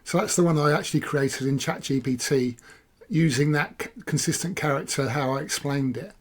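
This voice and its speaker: A hoarse man, casually talking through a software walkthrough and thinking aloud as he clicks. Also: fairly high pitch, normal speed. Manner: rambling, self-correcting, thinking aloud. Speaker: software reviewer. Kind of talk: casual screen-recorded walkthrough.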